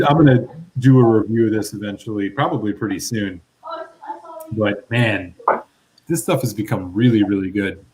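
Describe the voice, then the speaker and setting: A man's voice: fairly low pitch, a little mellow, poor audio. A man hosting a live whisky stream, chatting with his guests. He talks enthusiastically and at length, with lots of "like". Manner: enthusiastic, talkative, says "like" a lot. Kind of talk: casual live-stream chat.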